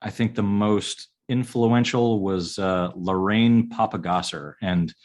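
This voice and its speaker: A man in his forties, an architect, talking about his schooling in a relaxed, halting way with many pauses and restarts. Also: lowish voice. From upstate New York. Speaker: architect, podcast guest. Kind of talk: casual interview answers.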